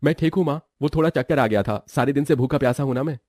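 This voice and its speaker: Man acting a gentle young husband, mid-pitched. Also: quick pace, no echo, clean studio sound, lightly acted. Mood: earnest.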